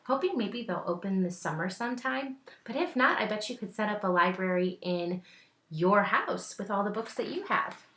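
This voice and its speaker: Female teacher, bright tone, children's read-aloud with engaging asides and questions, roomy echoey recording. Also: mid-range voice, animated, moderate pace. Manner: engaging, asks the listeners simple questions. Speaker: teacher reading a picture book aloud.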